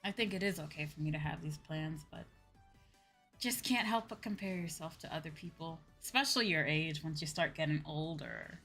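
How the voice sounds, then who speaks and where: An American woman chatting casually to viewers as a livestream host, animated and repeating phrases for emphasis. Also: sits low, moderate pace, soft background music. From United States.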